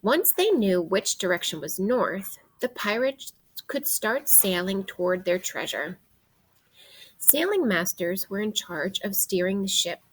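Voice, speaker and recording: A woman teacher reading a children's book aloud in an expressive way, guiding young listeners with questions and pauses. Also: mid-range voice, breathy edge, poor audio. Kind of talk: interactive read-aloud of a children's nonfiction book.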